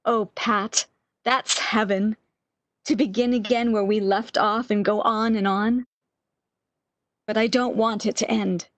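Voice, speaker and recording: A woman's voice: medium pitch, steady tone, unhurried, long pauses, no room sound, natural voice. A woman playing a frail, fearful, lovesick woman, pleading emotionally in an amateur radio drama. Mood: hopeful longing.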